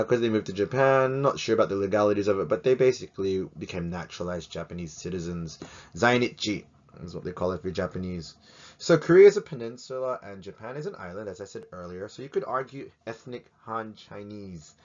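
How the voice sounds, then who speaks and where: Male teacher, low voice, jokey and chatty, dull lo-fi sound. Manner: chatty, jokey, asks many rhetorical questions. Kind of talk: casual educational talk to camera.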